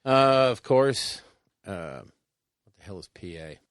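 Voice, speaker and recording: A man's voice: fairly low pitch, animated, smooth, no echo, studio quality. A man wisecracking with his co-hosts in casual podcast banter. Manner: drawn-out, wisecracking. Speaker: podcast co-host.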